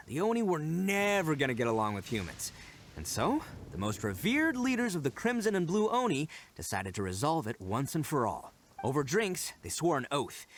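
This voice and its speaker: A man's voice: a little high, slight background noise. A man playing a proud, brash, earnest young oni gang leader in a video game, speaking emphatically. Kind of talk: scripted video game voice acting.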